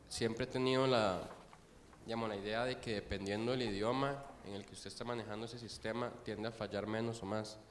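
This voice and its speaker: A man's voice: lowish voice, a little hiss or hum. A man in the audience carefully explaining and asking a question after a talk.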